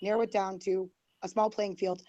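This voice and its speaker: A woman's voice: mid-range voice, flat delivery, normal speed, dark tone, lo-fi sound. A woman who is losing her voice, talking defensively and rambling with many fillers on a group call. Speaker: guest. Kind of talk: heated online group call.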